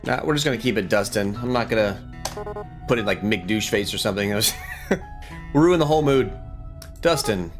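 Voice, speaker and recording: A man's voice: fairly low pitch, lively intonation, normal speed, long pauses. A man giving casual let's play commentary, thinking aloud in an informal way and sometimes reading game text. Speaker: gaming video host. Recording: music behind the voice. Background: guitar game music.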